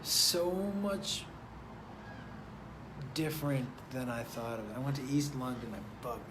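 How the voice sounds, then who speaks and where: A man's voice: mid-range voice, expressive, a little slow. A man hosting a casual live stream, chatting loosely and profanely, reading out viewer names and rambling. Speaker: host of a live stream show. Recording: noticeable background noise.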